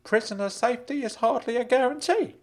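A man voicing a kindly, fussy older uncle in an audiobook story, earnest and excitable. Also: mid-range voice, fairly quick, voice pushed up, close to an everyday voice. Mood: worried. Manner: excitable, earnest, swooping delivery. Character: kindly older uncle, fussy, caring, fond of dad jokes.

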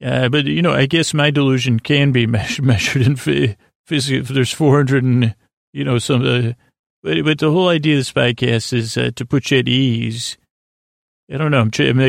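A man with a gravelly, creaky voice drifts through a late-night sleep podcast, restarting his sentences and wandering off mid-thought. His delivery is soft and lulling, and the sound is dry and close with no room around it. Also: medium pitch, steady tone, normal speed, no echo. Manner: meandering, self-interrupting, many restarts. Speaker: podcast host.

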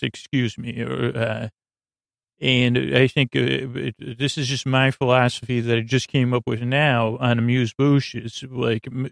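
A middle-aged man with a creaky, gravelly voice hosts a bedtime podcast, rambling haltingly and interrupting himself as he goes. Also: mid-range voice, dry recording, studio quality. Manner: meandering, halting, self-interrupting. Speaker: podcast host.

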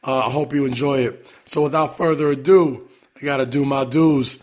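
Man, podcast host, raspy, hoarse, casual, mid-pitched. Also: fairly even intonation, moderate pace. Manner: loose, chatty, swears casually.

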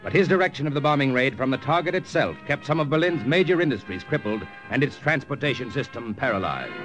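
A male announcer from vintage radio speaks over clear music. His delivery is formal and scripted, with emphatic, animated intonation. The sound is that of an old broadcast. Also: mid-range voice, quick pace.

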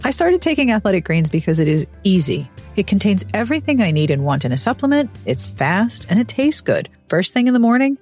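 A woman's voice: on the low side, darkish tone. A woman hosting a podcast, reading her intro and ads in an upbeat, chatty and personal way. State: recovering from illness. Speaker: podcast host. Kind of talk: scripted podcast intro and host-read ads.

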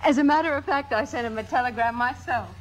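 An actress playing an eager, efficient, deferential young assistant in 1950s radio drama style. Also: female voice, sits high, fast talker, close to an everyday voice, poor audio. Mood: eager, innocent.

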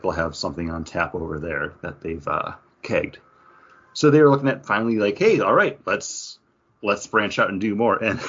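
A man with a fairly low voice talks as a podcast co-host. His delivery is casual and conversational, wandering a little as he goes. The recording is slightly dull and lo-fi. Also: a bit bright, slightly rough. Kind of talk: casual chat, craft beer review. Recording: dull, lo-fi audio.

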